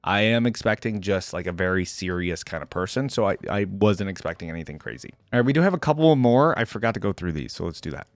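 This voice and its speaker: A man's voice: low voice, expressive, quick pace, hardly any pauses, dry recording. A man hosting a game reaction video, commenting casually and thinking aloud in an informal, conversational way.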